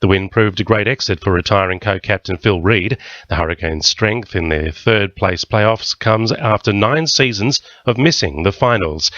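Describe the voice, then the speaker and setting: A man with an Australian accent reads a scripted news bulletin in a fairly low voice. The sound is dry and slightly dull, as on a modest radio recording. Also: a bit bright, no room sound.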